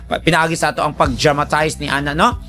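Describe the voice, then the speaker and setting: Male radio host, high-pitched, conversational and emphatic advice-giver over light background music. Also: fairly even intonation, fast talker, pushed and bright.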